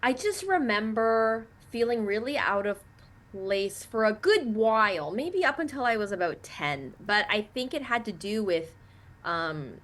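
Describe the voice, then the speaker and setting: A young woman from Canada, a native Mandarin speaker, chatting as an interview guest in an animated way with many fillers. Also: mid-range voice, a little slow. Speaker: guest, actress and comedian. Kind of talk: casual interview chat.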